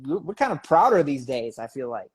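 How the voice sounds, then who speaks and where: A man's voice: mid-range voice, very animated, fairly quick, darkish tone, husky. A man speaking informally and with slang as the interviewer in a casual chat. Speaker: interviewer, magazine editor.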